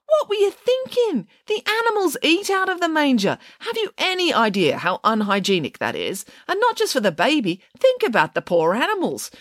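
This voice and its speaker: A woman voicing a bossy, sharp-tongued, glamorous female pig from a storybook, scolding in a no-nonsense way. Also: sits low, animated, a little fast, no echo, clean studio sound. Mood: indignant.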